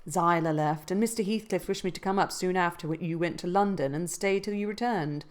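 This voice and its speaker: A woman voicing an old housekeeper who talks in a brisk, fussing, exclaiming way. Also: fairly low pitch, lightly acted. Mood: surprised.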